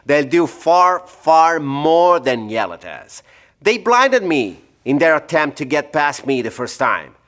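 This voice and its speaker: Formal, anxious scientist doctor. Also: male voice, a little high, sing-song, slow, a bit bright, heavily acted. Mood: urgent, fearful. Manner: precise, formal, scholarly diction. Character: blinded, guilt-free scientist doctor, anxious and urgent. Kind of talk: audio drama acting.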